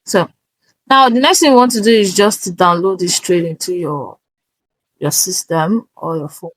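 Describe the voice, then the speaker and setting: A woman's voice: on the low side, moderate pace, no room sound, poor audio. A woman presenting a casual step-by-step tutorial, instructive and often checking that the listener is following.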